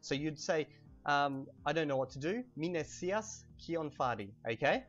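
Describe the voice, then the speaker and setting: A man in his thirties with an Australian accent, chatting through a language lesson while playing a game, slowing down on key words, on a cheap desk mic. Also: a little high, lo-fi sound, faint music behind. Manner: chatty, animated, drawn-out slow spelling of words. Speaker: language teacher and gamer. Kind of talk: casual lesson over gameplay. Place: home room, webcam. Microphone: cheap desk microphone.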